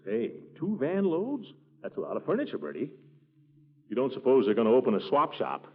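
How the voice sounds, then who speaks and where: An actor playing a pompous, fussy middle-aged uncle who blusters and gets flustered, in 1940s radio comedy style. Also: male voice, medium pitch, huge pitch swings, brisk, husky, full character voice. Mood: evasive, flustered. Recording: muffled, tinny 1940s radio recording.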